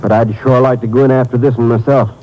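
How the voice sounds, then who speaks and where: An actor playing a folksy, plain-spoken rural Texas sheriff in a vintage radio drama. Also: male voice, mid-range voice, little pitch movement, quick pace, muffled recording, slight background noise, close to an everyday voice. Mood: keen, determined. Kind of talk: 1950s radio drama acting.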